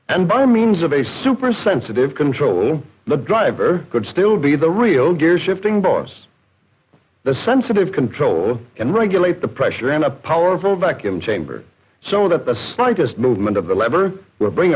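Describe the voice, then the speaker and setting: A man's voice: on the high side, husky. An American man narrating a scripted 1940s promotional film in a jocular, folksy showman's manner. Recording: dull, band-limited 1940s film soundtrack.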